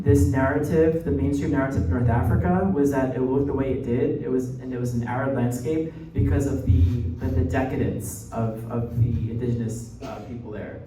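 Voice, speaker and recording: A man's voice: medium pitch, mellow. Muffled, echoey young American man lecturing. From New Jersey, United States. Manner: conversational, often tags points with "right?". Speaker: guest lecturer, graduate student. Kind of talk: informal academic presentation. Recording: muffled, echoey room, distant mic, faint noise.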